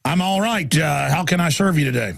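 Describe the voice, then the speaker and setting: A man hosts a call-in radio show in a gravelly, husky voice that sits a little high. He talks emphatically in long, rambling runs and often doubles back on his words. The sound is dry and close to the mic. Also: expressive, a little fast, dry recording. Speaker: radio talk show host.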